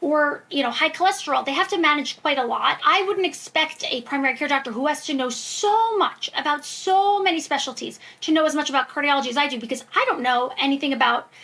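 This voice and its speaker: Female medical expert for podcast interview: very high, forceful bright voice, emphatic and reassuring explainer. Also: fast, hardly any pauses, rough recording. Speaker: guest expert, preventive cardiologist. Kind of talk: conversational podcast interview answers.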